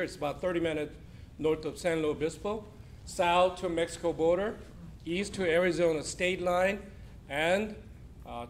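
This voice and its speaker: A man from South Korea, a church official giving a loud, chatty talk to a congregation in a church hall. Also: a little high, a little hiss or hum. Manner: loud, projecting, chatty with the audience. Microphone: weak room microphone.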